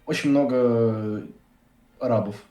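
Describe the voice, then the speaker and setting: Blunt eighteen-year-old man, quick delivery, roomy, distant webcam sound. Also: mid-range voice, dark tone. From Saint Petersburg, Russia. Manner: quick, blunt, swears casually. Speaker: university economics student. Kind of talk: casual video chat. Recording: echoey room, far from the mic.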